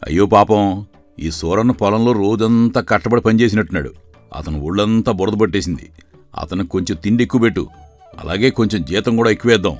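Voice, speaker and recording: A man playing a stern but credulous landowner in an animated moral story, speaking with authority. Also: lowish voice, fairly quick, faint music behind, natural voice. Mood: pitying.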